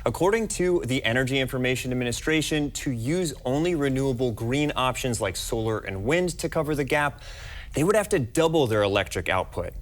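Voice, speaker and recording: A man's voice: medium pitch, lively intonation, a little fast, a little hiss or hum. American guy doing an explainer news report, normal mid voice, scripted. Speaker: news fact-check reporter.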